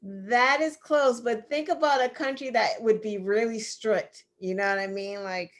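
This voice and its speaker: A woman's voice: on the high side, measured pace. A woman co-hosting an online news show, running a trivia game in casual chat and laughing often. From United States. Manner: laughs often, playful quizmaster. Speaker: co-host.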